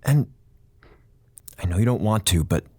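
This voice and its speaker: A man's voice: fairly low pitch, lively intonation, measured pace, dark tone, slightly rough, dry recording. A man playing a flippant, defensive spaceship crewman in an audio drama, with an informal, glib way of talking. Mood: urgent.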